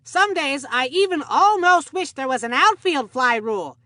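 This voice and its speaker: An actor voicing a folksy, rambling, excitable old grandpa who commentates baseball in a cartoonish children's video game. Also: high-pitched, moderate pace, no room sound, studio quality.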